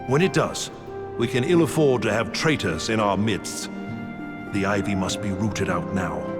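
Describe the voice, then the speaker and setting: An actor playing a composed, shrewd military intelligence commander in a fantasy game, speaking in formal, measured, archaic phrases with grave courtesy. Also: male voice, on the low side. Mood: urgent, resolute. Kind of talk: dramatic fantasy game voice acting. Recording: long reverb under clear music.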